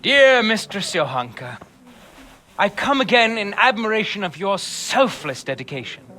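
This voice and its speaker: A man's voice: high voice, very animated, moderate pace, sharp tone, exaggerated voice. A man playing a haughty, easily affronted custodian in a video game, with a pompous, flowery and dismissive manner. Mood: unctuous. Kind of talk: video game cutscene acting.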